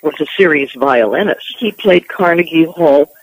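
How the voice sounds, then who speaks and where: A woman's voice: sits low, expressive, normal speed, breathy edge, no room sound, low-quality recording. An older American woman reminiscing as an interview guest.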